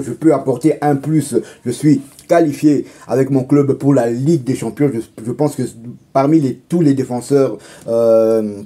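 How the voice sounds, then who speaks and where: A man's voice: lowish voice, mellow. A man hosting a casual football talk show, speaking with many hesitations, fillers and restarts.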